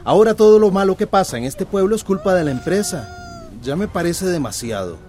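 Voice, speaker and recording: A man's voice: sits high, huge pitch swings, fairly quick, darkish tone, faint music behind. An actor playing a stubborn, defensive young man in a radio drama, dismissive and emphatic. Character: defensive, stubborn grown son loyal to company.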